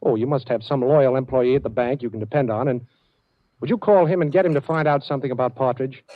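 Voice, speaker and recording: A man's voice: medium pitch, quick pace, no echo, natural voice. Muffled old-radio wisecracking sleuth played by a man. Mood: polite, persuasive. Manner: wry, wisecracking. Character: wisecracking, cool-headed amateur sleuth on the run. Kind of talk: 1940s radio drama acting.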